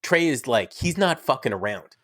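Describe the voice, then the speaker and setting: A man's voice: mid-range voice, sing-song, moderate pace, a bit bright, no room sound, studio quality. A young man, sick, talking casually and enthusiastically as a podcast co-host, rambling and teasing his friend. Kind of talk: casual fan chat.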